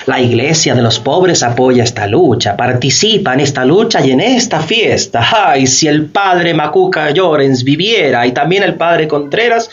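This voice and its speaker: Man playing an earnest cardinal, slightly high voice. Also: expressive, moderate pace, few pauses, dull audio, natural voice. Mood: earnest joy. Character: warm, devout cardinal of the poor. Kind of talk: radio drama interview acting.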